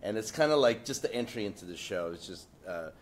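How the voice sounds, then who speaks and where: A man's voice: lowish voice, lively intonation, fairly quick. A man on a discussion panel telling a casual, rambling anecdote full of fillers, getting indignant as he goes.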